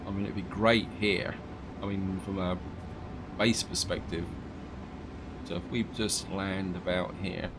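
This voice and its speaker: A man casually commenting on his gameplay as he plays, thinking aloud and correcting himself, his voice slightly croaky from allergies. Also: sits low, level tone, a little slow, pauses often, noisy background. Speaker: gaming video host.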